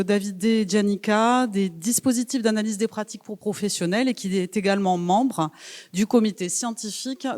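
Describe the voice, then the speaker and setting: A woman serves as conference moderator, presenting the next speaker's background. Her pitch sits in a middle range, and she talks with frequent fillers and small pauses. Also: a little mellow, long reverb tail. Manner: hesitant, with many fillers. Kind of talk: introducing a speaker.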